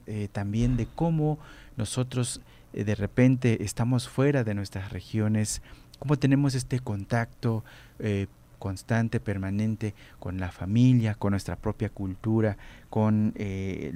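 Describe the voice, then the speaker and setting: A man's voice: lowish voice, warm tone. A man hosting a community radio interview, greeting listeners and asking his guest questions. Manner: greets listeners formally, affirms with short murmurs. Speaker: radio host.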